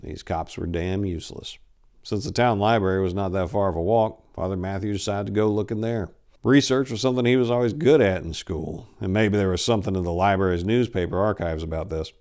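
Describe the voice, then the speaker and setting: A man in his forties narrates a creepy tale in a fairly low voice. His delivery is theatrical and expressive, like a staged dramatic reading. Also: brisk. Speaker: story reader. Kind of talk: dramatic reading of a horror short story. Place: indoors, book-lined room. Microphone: lapel.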